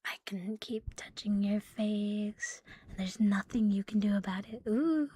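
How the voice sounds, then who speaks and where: A woman streaming ASMR in a soft, breathy, often whispered voice, playful and intimate, close to the microphone. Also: medium pitch, fairly even intonation, measured pace. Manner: playful, giggly, intimate, lingering on repeated words. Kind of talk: ASMR roleplay with casual rambling to stream viewers.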